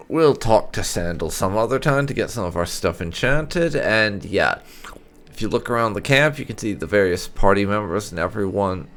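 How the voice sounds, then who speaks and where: A man hosts a gaming video in a low, creaky voice. His pitch swings widely as he rambles casually through his commentary, at an unhurried, slowish pace. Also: a bit bright. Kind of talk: casual gameplay commentary with light roleplay.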